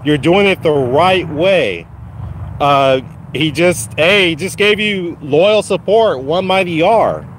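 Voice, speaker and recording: A man hosting his first live stream from a phone while walking a dog outside, his voice slightly raspy from a cold, casually encouraging viewers. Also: on the high side, slow, a little hiss or hum. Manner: encouraging, repetitive, addresses viewers by name. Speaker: channel host. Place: outdoors on a lawn walking a dog. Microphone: phone held while walking.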